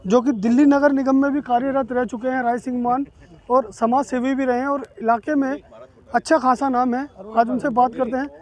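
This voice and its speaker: A male field reporter with a very high voice for a man introduces a story and puts questions to the people he interviews. He pushes with leading questions and keeps the conversation moving. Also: a little mellow. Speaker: news reporter.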